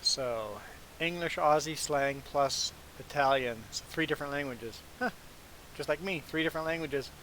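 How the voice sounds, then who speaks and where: A man from Canada whose first language is Low German, chatting casually as he hosts a livestream of a farming game. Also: normal speed, fairly bright tone, low-quality recording. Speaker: livestream gaming host. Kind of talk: casual chat while streaming a farming game. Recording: clear background hiss.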